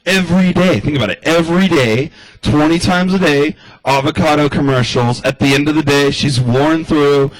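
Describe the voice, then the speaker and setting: A man's voice: fairly high pitch, normal speed, dry recording, rough recording. A man doing a rambling stand-up comedy monologue in the style of a radio address, loose and chatty, full of asides.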